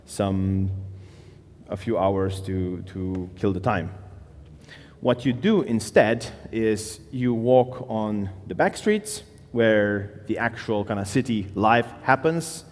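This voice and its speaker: A man's voice: lowish voice, a little mellow, big-room sound. A man from Slovenia giving a casual conference talk on stage, with loose phrasing, fillers and restarts. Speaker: conference speaker.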